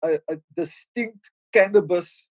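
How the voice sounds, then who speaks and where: A middle-aged man with a Cape Town South African accent speaks as a podcast guest, his voice sitting a bit high. He thinks aloud, restarting phrases over and over, into a dry close mic. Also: measured pace, a little mellow, no echo. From South Africa, Cape Flats in Cape Town. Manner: reflective, with frequent stammered restarts. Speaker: podcast guest, rapper and producer. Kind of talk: casual podcast conversation.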